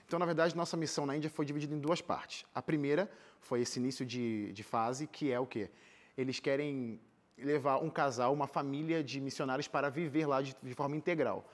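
A man's voice: medium pitch, fairly quick. A young man with a Brazilian accent telling personal stories informally and animatedly as an interview guest in a TV studio. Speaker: interview guest, singer and missionary volunteer. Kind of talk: casual personal storytelling in an interview. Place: TV studio, seated.

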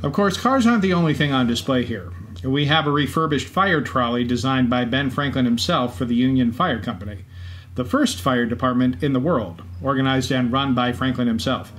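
A man's voice: mid-range voice, brisk, fairly bright tone. A male co-host reads scripted narration in a mid-range voice. There is faint event ambience from a racetrack behind him. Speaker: podcast co-host. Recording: faint background ambience noise.

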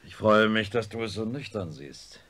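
An actor playing a sober, skeptical professor of neurosurgery in a radio play, with a dry, measured, blunt manner. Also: male voice, lowish voice, lively intonation, a bit bright. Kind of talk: German radio play acting.

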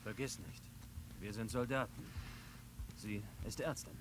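An actor voicing an easygoing young male soldier in a video game, relaxed and teasing. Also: lowish voice, measured pace, background noise, lightly acted. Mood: coaxing. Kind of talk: German game dub.

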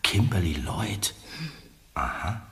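An actor playing a young male investigator who speaks in a hushed, conspiratorial way in a radio play. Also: low-pitched, animated, slow, long reverb tail, full character voice. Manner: whispering, conspiratorial.

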